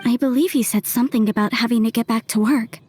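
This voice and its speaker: A woman voicing a calm adult nun who nurses the sick, speaking gently and plainly. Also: a little high, fast talker, slight background noise, natural voice. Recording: game soundtrack music behind.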